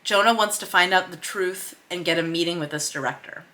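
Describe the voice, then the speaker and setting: A woman's voice: on the low side, a little fast, pushed and bright. A woman hosting a filmmaking vlog, explaining her process in a chatty way with emphasis on key words. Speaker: host of a filmmaking vlog series. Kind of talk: explanatory vlog to camera with screen share.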